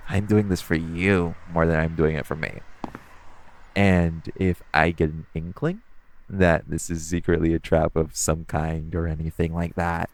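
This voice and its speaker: A man playing a flirty, teasing, self-assured supervillain thief in an audio roleplay, speaking casually with halting, conversational pauses. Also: low voice, slight background noise. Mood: softening, playful. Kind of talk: intimate second-person audio roleplay, conversational acting.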